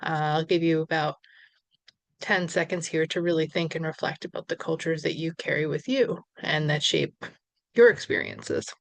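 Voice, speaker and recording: A woman with a New York accent delivers a recorded social work lecture, chatty and unscripted, with fillers and restarts. Her voice sits low and is recorded close and dry. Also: normal speed, creaky, no echo. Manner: conversational, with fillers and self-corrections.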